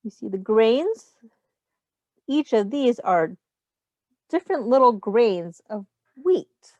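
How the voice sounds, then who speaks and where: A woman's voice: fairly high pitch, very animated, slow pace, dark tone, no room sound, lo-fi sound. A woman teacher reading a picture book aloud to young children, explaining simple words and asking them questions as she goes. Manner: instructive, pointing out rhymes and addressing young children directly.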